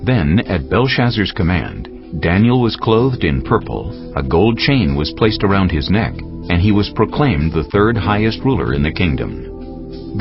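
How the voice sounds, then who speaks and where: A man narrating scripture in a scripted, dramatic storytelling style. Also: low-pitched. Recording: muffled sound with faint music underneath.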